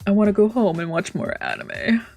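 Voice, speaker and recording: A woman's voice: medium pitch, wildly swooping pitch, normal speed, gravelly, darkish tone. A woman streamer reading a visual novel aloud, stumbling over lines now and then and breaking into playful, casual asides. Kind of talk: let's play reading with casual reactions. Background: faint game music.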